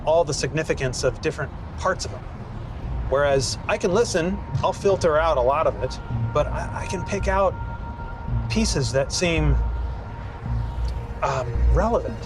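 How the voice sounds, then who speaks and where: Man, documentary interviewee, lightly high pitch, measured explanatory delivery, music bed underneath. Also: expressive, low-quality recording.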